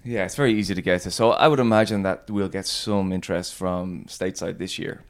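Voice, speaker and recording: An Irish man, an event organizer guest, talking in a relaxed, easygoing way. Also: sits low, fairly quick. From Ireland. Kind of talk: casual interview chat.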